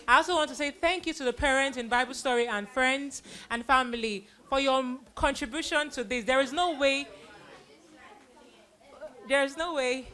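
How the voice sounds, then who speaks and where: Female event convener, high bright voice, effusive and exclamatory, delivering an informal vote of thanks. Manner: effusive, exclamatory, repeats phrases for emphasis. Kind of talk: informal thank-you speech to an audience.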